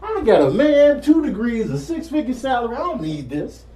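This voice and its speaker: A man voicing a sassy, dramatic female animal in a comic animal-video dub, exclaiming in drawn-out phrases. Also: very high voice, sing-song, crowd noise. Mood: sassy exasperation.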